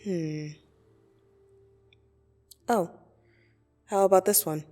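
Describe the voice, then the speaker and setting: A woman playing a blunt, teasing yet soothing dream demon in an ASMR roleplay, speaking deliberately and intimately with gentle condescension. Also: fairly low pitch. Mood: musing. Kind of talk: ASMR roleplay audio drama. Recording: soft music behind the voice. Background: soft meditation music.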